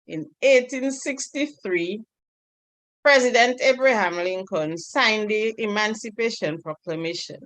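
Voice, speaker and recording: A woman from Dominica with a Dominican accent, leading a club meeting over a video call in a measured, earnest way. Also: mid-range voice, no room sound. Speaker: meeting's toastmaster of the day.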